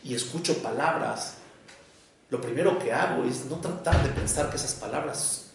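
A psychoanalyst from Mexico with a Mexico City accent, giving an academic lecture in a measured, deliberate way with short pauses between phrases. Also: male voice, medium pitch, vocal fry, noisy background. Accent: Mexican Spanish, Mexico City. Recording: echoey room, voice picked up from far off.

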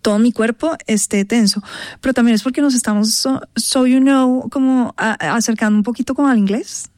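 A woman, a voice actress giving thoughtful, searching answers in an interview, full of pauses and restarts. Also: fairly high pitch, a little mellow, dry recording, studio quality. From Colombia. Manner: thoughtful, many fillers and restarts. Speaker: guest, voice actress.

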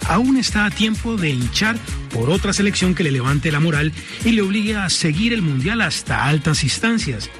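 A man narrating a scripted radio news feature in a measured, lightly playful way. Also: high voice, expressive. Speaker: radio reporter. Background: music bed.

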